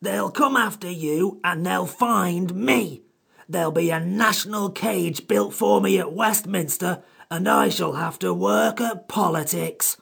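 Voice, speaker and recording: A man voicing a grumpy, bristling wish-granting creature from a storybook, with a croaky, rough, indignant way of talking. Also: high-pitched, a bit bright, voice pushed up, exaggerated voice. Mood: furious, indignant. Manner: slow, cross, threatening.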